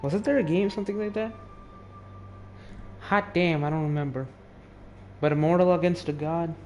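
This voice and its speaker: A man's voice: fairly high pitch, moderate pace, slight background noise. A teenage male gamer giving casual, musing off-the-cuff commentary while playing. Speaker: game streamer.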